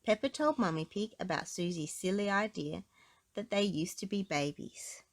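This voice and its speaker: A woman reading a storybook aloud to children in an expressive narrating style. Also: medium pitch, normal speed. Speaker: storybook reader.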